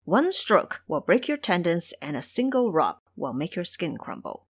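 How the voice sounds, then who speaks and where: A woman's voice: fairly low pitch, big pitch swings, normal speed, breathy edge, dry recording, exaggerated voice. A woman voicing a stern, knowing male guardian god who explains and cautions emphatically in an audio drama. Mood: cautioning.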